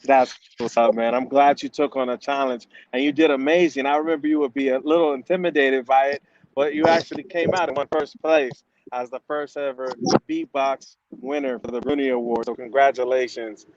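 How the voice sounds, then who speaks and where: A man's voice: mid-range voice, fairly even intonation, fairly quick, highs cut off, slight background noise. Laid-back slangy man hosting. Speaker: host. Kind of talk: casual interview hosting. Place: outdoors on a city walk.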